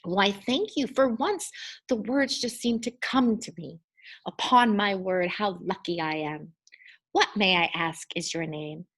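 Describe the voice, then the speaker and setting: Woman doing a gracious poet from a kids book, formal n polite, musing, kinda slow. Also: mid-range voice. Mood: grateful, wondering.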